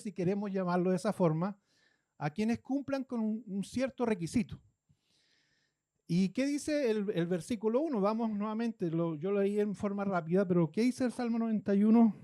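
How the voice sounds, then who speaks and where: High-voiced preacher. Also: male voice, dark tone. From Guatemala. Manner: conversational, acts out churchgoers' complaints. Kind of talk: sermon.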